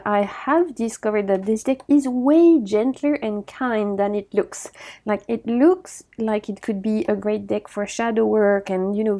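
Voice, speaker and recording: Woman with a slightly croaky, mellow voice. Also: a little high. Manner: enthusiastic, gushing, laughs often. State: slightly croaky, recovering from sore throat. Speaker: oracle deck vlogger. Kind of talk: casual chatty deck review.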